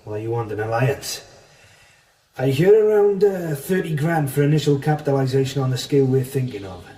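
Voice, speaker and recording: An actor playing a cautious, businesslike criminal financier in a radio drama, speaking in a measured, guarded, rather formal way. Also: male voice, medium pitch, lively intonation, mellow, slight background noise, natural voice. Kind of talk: radio crime drama acting. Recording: echoey space, distant from mic.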